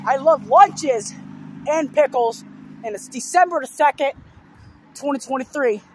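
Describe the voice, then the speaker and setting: A hoarse young man with a sore throat rambling through repeated simple lists in a casual vlog, filmed outdoors beside a road on a phone held at arm's length. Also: very high-pitched, expressive, measured pace, darkish tone. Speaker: vlogger. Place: outdoors on a fenced overpass beside a busy road. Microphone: phone held low at arm's length. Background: faint passing traffic.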